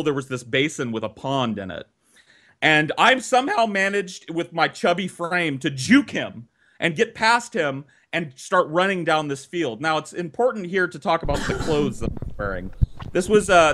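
A man in his thirties telling a personal story for laughs on a podcast, animated and dramatic, with swearing. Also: a little high. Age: 30s.

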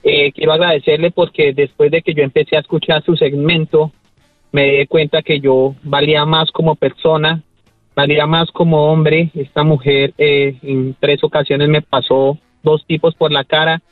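A man's voice: a little high, little pitch movement, moderate pace, no echo, faint music behind. A man with a Colombian accent calling a radio show, giving an earnest personal account. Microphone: phone. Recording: tinny phone line.

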